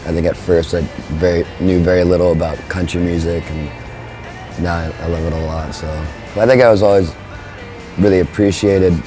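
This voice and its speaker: A young man, a band's frontman, talking in a relaxed, rambling way with lots of fillers. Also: low-pitched. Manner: laid-back, rambling, with frequent fillers. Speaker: band frontman. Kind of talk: relaxed interview talk.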